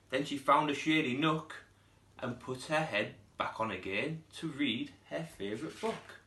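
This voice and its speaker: A man's voice: medium pitch, echoey room. A man, a primary school teacher reading a children's storybook aloud expressively. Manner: expressive, putting on character voices.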